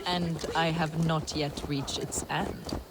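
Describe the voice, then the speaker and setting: A low female voice plays a cryptic, devout keeper of sacred relics in a historical video game. She speaks formally and with measured reverence, like preaching. Horses, cart and open-air ambience are clearly audible behind her. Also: level tone, heavy background noise. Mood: preaching, solemn. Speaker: game character. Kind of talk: dramatic video game acting.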